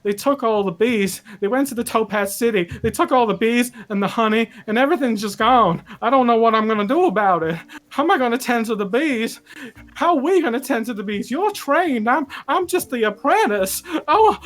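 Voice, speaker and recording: A man's voice: extremely high pitch, lively intonation, moderate pace, fairly bright tone, pitched above the performer's own voice. A man voicing a timid, waifish young male beekeeper's apprentice who babbles in fright. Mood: panicked. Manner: panicky, squealing, babbling in fright.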